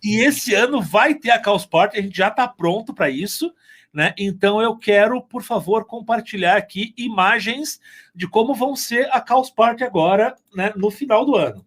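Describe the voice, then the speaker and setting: A man's voice: high-pitched, normal speed, a bit bright. A man chatting casually, rambling and joking as he goes.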